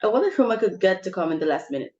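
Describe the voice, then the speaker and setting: A woman's voice: mid-range voice, very animated, very fast, darkish tone, muffled recording, natural voice. A woman playing a bossy, social-climbing society mother with theatrical exclamations in an amateur stage comedy reading. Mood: indignant.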